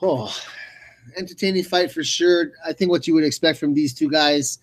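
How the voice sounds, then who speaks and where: A man giving casual color commentary on a fight, with laid-back, slangy phrasing and hesitant pauses. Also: a little high.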